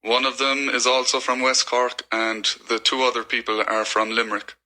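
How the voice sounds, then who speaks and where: Flat-voiced young Irish man. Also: medium pitch, moderate pace, rough recording. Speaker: guest English teacher. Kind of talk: casual interview answers.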